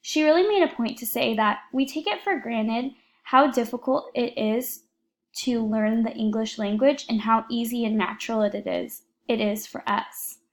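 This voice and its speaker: A woman's voice: fairly high pitch, moderate pace. A young woman, an education student, earnestly reading a prepared report for her class, with a few fillers.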